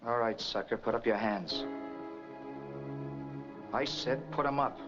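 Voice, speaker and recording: A man's voice: mid-range voice, unhurried, lightly acted. A man playing a cold, commanding killer in a 1940s crime film, talking in curt, tough slang. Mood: menacing. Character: cold, menacing male killer and escaped convict in crime film. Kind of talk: 1940s film noir acting. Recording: dull vintage film soundtrack, music behind.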